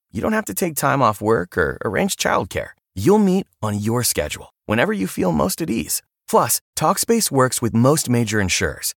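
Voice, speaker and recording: A man's voice: mid-range voice, gravelly, no echo, studio quality. A man reading a scripted advertisement as an announcer. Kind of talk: scripted ad read.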